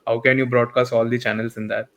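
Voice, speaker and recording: A man co-hosting a football podcast, chatting casually in eager, halting bursts. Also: mid-range voice, little pitch movement, a bit bright. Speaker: podcast co-host. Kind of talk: casual chat.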